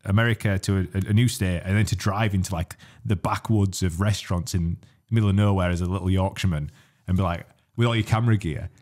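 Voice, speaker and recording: A man with a Northern English accent and a very deep voice talks fast. He chats as a podcast co-host, putting casual questions in colloquial, blokey banter. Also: steady tone, darkish tone. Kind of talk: casual chat, asking questions.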